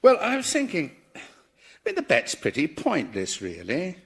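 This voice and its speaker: An earnest British professor, very animated. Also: male voice, a little high, normal speed, full character voice. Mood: tentative, wheedling. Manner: hesitant, polite, earnest, easily startled. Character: earnest, well-meaning, hesitant male professor damned to hell. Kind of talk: radio sitcom acting before an audience.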